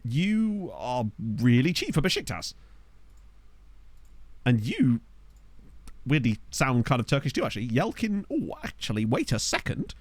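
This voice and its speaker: A man's voice: medium pitch, huge pitch swings, unhurried, pauses often, a little mellow, no room sound. Chatty man streaming a game. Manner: chatty, rambling, laughs often, reacts aloud to players. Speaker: gaming streamer. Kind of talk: casual gameplay commentary. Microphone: desk microphone.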